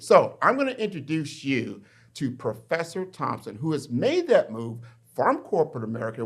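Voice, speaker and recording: A middle-aged man hosting a podcast interview from a university, framing questions in an energetic, conversational way. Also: on the high side. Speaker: podcast host. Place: university in Miami.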